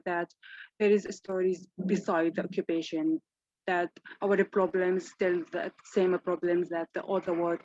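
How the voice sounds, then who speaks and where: A woman's voice: fairly low pitch, darkish tone, no room sound. A young woman from Gaza, a literature student, speaking on an online panel with many restarts. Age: young adult. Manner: halting, frequent restarts. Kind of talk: online event talk. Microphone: video call. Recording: dull, dry video call audio.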